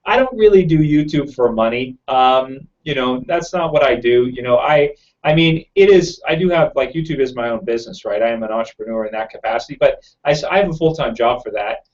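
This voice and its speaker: A man hosting a casual live chat from home, answering viewer questions quickly with lots of fillers, his throat a bit raw. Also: medium pitch, muffled recording. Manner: fast-talking, rambling with many fillers. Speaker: YouTube channel host.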